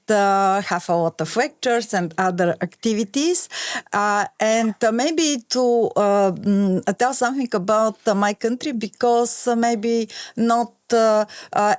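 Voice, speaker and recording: A woman from Bulgaria whose first language is Bulgarian, speaking careful, halting English with many fillers as a guest in a radio interview. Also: medium pitch, no room sound, clean studio sound. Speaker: guest lecturer. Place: studio.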